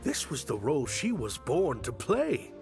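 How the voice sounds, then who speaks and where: A man's voice: mid-range voice, huge pitch swings, unhurried, gravelly, exaggerated voice. A man voicing a gracious, modest male theater director. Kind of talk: game voice acting. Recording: game dialogue with soft music behind.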